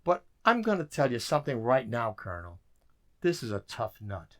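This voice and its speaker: An actor playing a weary, dry-witted government codebreaker in an emphatic radio drama style. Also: male voice, mid-range voice, huge pitch swings, moderate pace, a bit bright. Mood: weary, frustrated.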